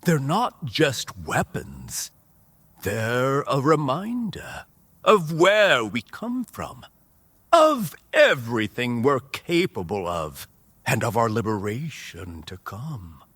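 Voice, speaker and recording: An actor playing a charismatic outlaw rebel leader, commanding and reassuring, in an audio drama with deliberate, emphatic delivery. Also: male voice, mid-range voice, wildly swooping pitch, a bit bright, breathy edge, no echo, full character voice. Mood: rallying, intense.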